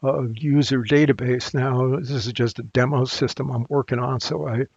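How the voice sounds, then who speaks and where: Husky guy talking through a software demo, halting and unscripted, kinda dull dry audio. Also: mid-range voice, steady tone, dry recording. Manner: halting, thinking aloud, throat-clearing. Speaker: tutorial presenter, technical support. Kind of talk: unscripted software screencast walkthrough.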